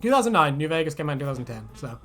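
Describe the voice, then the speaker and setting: A woman with a fairly low, very animated voice banters as a co-host. She is giggly, sweary and jokey, keeping things casual, with faint music playing behind her. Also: rapid-fire. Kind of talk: casual banter, reacting to fan comments.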